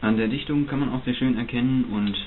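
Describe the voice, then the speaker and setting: A man's voice: medium pitch, fast talker. A man giving spontaneous, technical and explanatory unboxing commentary as a hobby reviewer. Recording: muffled phone-like sound, clear hiss.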